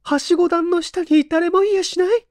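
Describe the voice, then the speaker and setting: A man's voice: extremely high pitch, fairly even intonation, normal speed, no echo, clean studio sound, voice pushed up. A man voicing a frightened, talkative wife with pleading, feminine speech in a dramatized story reading. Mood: terrified, pleading. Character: frightened, talkative young wife insisting on a ghost.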